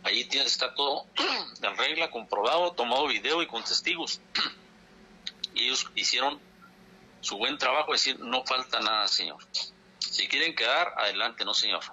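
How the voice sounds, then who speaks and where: An indignant man presses his demands over a telephone line, hammering the same points again and again. His voice is husky but strikingly bright and sharp, with a forceful edge. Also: medium pitch, expressive, moderate pace, pauses often. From Chihuahua, Mexico. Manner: indignant, insistent, repeats for emphasis. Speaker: dairy farmers' leader. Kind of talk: phone interview statement. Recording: phone line.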